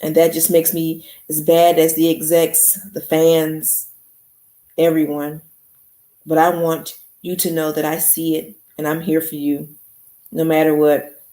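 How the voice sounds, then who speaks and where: A woman reading the lines of a remorseful young man who makes a halting, earnest apology to a friend, in a roomy recording. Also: deep voice, completely flat delivery, dark tone, voice pushed down. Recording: roomy echo.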